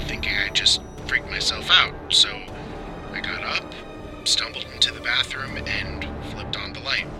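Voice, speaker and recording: A man playing a shaken hotel guest on a tipline, telling a strange experience in a halting, conversational way for an audio drama. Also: fairly low pitch. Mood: uneasy, hesitant. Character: shaken, bald hotel guest insisting his nightmare was real. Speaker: tipline caller. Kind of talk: dramatic monologue in audio fiction.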